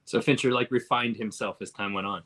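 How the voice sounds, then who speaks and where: Fast-talking husky man hosting discussion. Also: fairly low pitch, steady tone, a bit bright. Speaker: discussion host. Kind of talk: casual film discussion.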